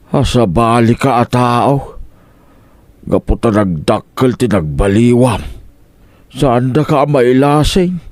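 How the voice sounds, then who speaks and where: Man playing slow-talking, exasperated Ilocano father. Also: mid-range voice, a little mellow, natural voice. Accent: Filipino, Ilocano. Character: exasperated old Ilocano father, blunt, disapproving. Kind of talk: Ilocano radio comedy drama acting.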